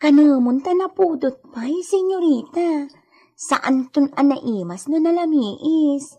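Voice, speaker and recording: A woman's voice: sits high, animated, takes it slowly, dark tone. A woman with an Ilocano accent playing a kind, eager young caregiver in a radio drama, solicitous and chatty. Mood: caring. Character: Edita, kind, eager young woman tending a sick lady.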